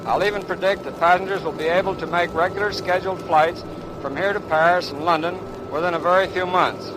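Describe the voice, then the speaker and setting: Casting: male aviation expert, high-pitched voice, formal scripted 1930s radio speech, clearly noisy recording. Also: steady tone, fairly quick.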